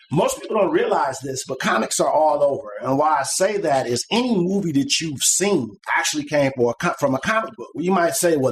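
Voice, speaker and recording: Middle-aged male podcast guest, average pitch, loose and rambling conversational delivery. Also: a little hiss or hum, low-quality recording. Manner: rambling and conversational, many "like" and "you know" fillers. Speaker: podcast guest, comic artist. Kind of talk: casual interview chat.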